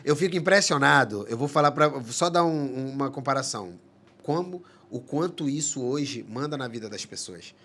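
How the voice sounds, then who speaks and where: A man speaks at a steady middle pitch. He talks earnestly in a relaxed podcast chat, pausing and restarting his phrases as he works through a thought. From Manaus, Amazonas, Brazil. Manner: earnest, halting, many restarts. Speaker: psychologist guest. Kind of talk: casual podcast conversation.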